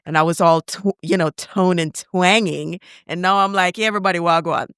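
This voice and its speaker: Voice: mid-range voice, fairly even intonation, a little fast, a bit bright, smooth, no echo, clean studio sound. A podcast host chatting enthusiastically and asking a guest questions. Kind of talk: casual interview talk.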